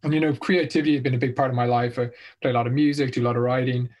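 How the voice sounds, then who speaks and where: Irish guy, middle-aged, talking through his career slow and thoughtful, everyday voice. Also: medium pitch, steady tone, a little mellow. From Ireland. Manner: reflective, measured storytelling. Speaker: guest, marketing executive. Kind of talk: casual interview answers.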